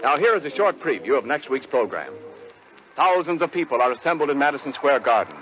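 A man's voice: mid-range voice, sing-song, fairly quick, faint music behind. An American man announcing on 1940s radio, reading scripted copy with a polished, emphatic salesman's delivery. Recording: muffled 1940s radio broadcast.

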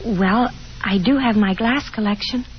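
An actress playing a painfully shy, gentle young woman who speaks hesitantly in a 1950s radio drama. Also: medium pitch, a little hiss or hum, natural voice. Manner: hesitant, halting, self-effacing. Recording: dull 1950s radio broadcast, music behind.

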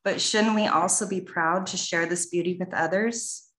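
A woman from Washington State reading a prepared public comment over a video call, measured and earnest, with a big echo on her line. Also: fairly low pitch, fairly even intonation, a bit bright. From Washington State, USA. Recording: echoey call audio.

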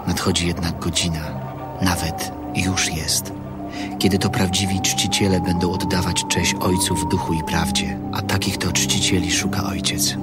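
An actor playing a calm, solemn prophet and teacher in an audio Bible drama, speaking in a measured way. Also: male voice, low-pitched. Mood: solemn, earnest. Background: music.

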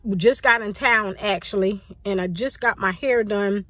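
A woman in her forties talking casually to her phone in a parked car, rambling and animated, gesturing with her hands. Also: mid-range voice, moderate pace, no echo, clean studio sound. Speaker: vlogger. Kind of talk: casual chatty vlog. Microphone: phone at arm's length.

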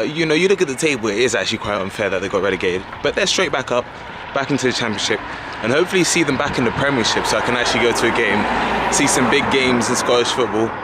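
A man's voice: medium pitch, expressive, fast. A young man in his twenties chatting casually and with enthusiasm as he films himself walking city streets, holding the camera at arm's length. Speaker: travel vlogger. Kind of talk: casual walking vlog narration. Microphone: handheld camera at arm's length. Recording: loud outdoor traffic noise. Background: loud street and traffic noise.

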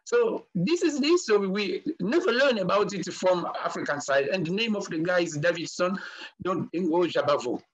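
A man with a fairly high voice gives a halting online talk, full of "uh" and restarts, and leans hard on his key points. The sound is dull and lo-fi, like a video call. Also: lively intonation. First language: Sakata. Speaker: guest speaker. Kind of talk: online lecture with slides.

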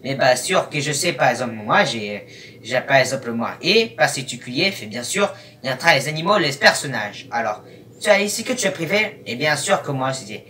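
A young man giving casual reaction commentary in a halting, rambling, disjointed way. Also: medium pitch, animated, bright, forceful tone. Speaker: YouTube host. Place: small room at home, indoors. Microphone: webcam at arm's length or more. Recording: noisy webcam, distant from the mic.

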